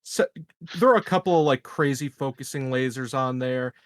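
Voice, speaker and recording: A man of middling pitch talks casually as a player in a tabletop game. He works out his ideas haltingly, often repeating words, in a dry recording. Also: no echo.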